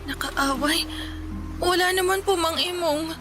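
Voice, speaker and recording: A woman's voice: very high-pitched, unhurried, music in the background. A woman playing a suffering, meek young Filipino office worker in a radio drama, plaintive, hesitant and respectful. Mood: puzzled, hesitant.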